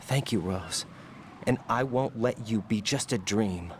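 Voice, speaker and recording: A man's voice: fairly low pitch, measured pace, darkish tone, a little hiss or hum, close to an everyday voice. A male actor playing an earnest, troubled young rookie soldier in a dramatic video game scene. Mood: troubled, unsettled. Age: young adult. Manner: earnest, intense.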